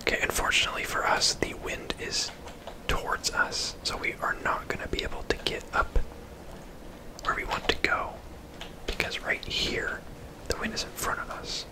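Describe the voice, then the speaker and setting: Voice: moderate pace, rough recording. Whispered ASMR gaming commentary. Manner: casual, chatty. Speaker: video game streamer.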